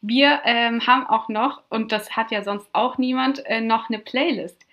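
Playful young woman, slightly higher voice. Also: unhurried, highs cut off. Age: 20s. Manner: playful, deadpan quips. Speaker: podcast co-host. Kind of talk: casual chat.

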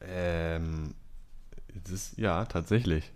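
Young guy quizmaster reading clues slow and calm, kinda low voice. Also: clean tone. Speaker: quiz host. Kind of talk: podcast quiz, reading out clues.

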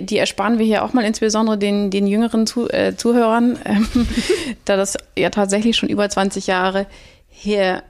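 A woman who owns a restaurant, telling its history in a relaxed podcast conversation, rambling with many restarts. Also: mid-range voice. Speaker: restaurant owner.

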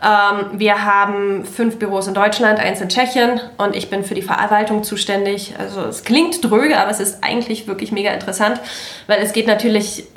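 A woman's voice: medium pitch, steady tone, moderate pace. A woman in her thirties from Berlin, talking in a chatty, frank and self-mocking way as a guest in a casual chat. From Berlin, Germany. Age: 30s. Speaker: party volunteer guest. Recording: roomy echoey room.

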